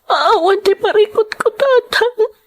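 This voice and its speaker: A woman performs a daughter in a radio drama, weeping and pleading. Her voice is very high and drawn out, with hesitant confession in every phrase. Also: animated, a little slow. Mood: tearful, distressed. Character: tearful daughter confessing a wrong to her father. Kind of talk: Philippine radio drama acting in Ilocano.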